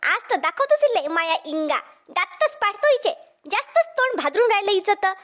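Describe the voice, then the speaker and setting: Super squeaky angry village mother-in-law for a cartoon, vidarbha marathi accent, pitched up, always scolding. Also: big pitch swings, moderate pace, fairly bright tone, exaggerated voice. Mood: furious, threatening. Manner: scolding, repetitive challenges. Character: hot-tempered quarrelsome village mother-in-law, threatening. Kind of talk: cartoon comedy dialogue.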